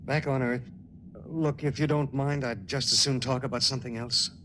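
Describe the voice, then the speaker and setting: An actor playing a grim, weary spaceship pilot in a 1950s science fiction radio drama, terse and hard-boiled, with dramatic delivery. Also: male voice, medium pitch, fairly even intonation, moderate pace, background noise, lightly acted. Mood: guarded, bitter. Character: grim, weary male spaceship pilot narrating his ordeal. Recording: vintage radio recording with music behind.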